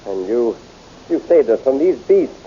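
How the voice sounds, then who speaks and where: An actor playing a noble, loyal male prince in a 1930s radio serial, speaking in a grave, measured way, on a muffled old recording with hiss. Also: mid-range voice, sing-song.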